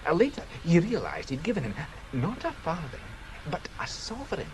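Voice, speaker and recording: Wry Scottish local, very animated intonation, ruminative, hesitant, radio drama, clear background noise. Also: male voice, fairly high pitch, normal speed. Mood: musing, confiding. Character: Scottish local man, wry teller of curious tales. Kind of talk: British radio drama acting.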